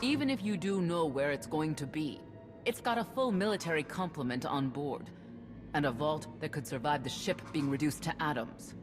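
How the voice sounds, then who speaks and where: A woman's voice: low voice, lively intonation, normal speed. A woman playing a stern, guarded older veteran officer in a video game, speaking in a sober, skeptical way. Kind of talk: cinematic video game voice acting. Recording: music behind.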